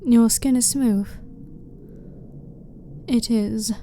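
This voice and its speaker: A woman playing a blunt, clinical but harmless alien scientist in an ASMR sci-fi roleplay, speaking in a formal, measured, matter-of-fact way. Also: on the high side, fairly even intonation, warm tone, faint music behind. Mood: calm, polite. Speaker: ASMR voice performer. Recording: clearly noisy ambient background.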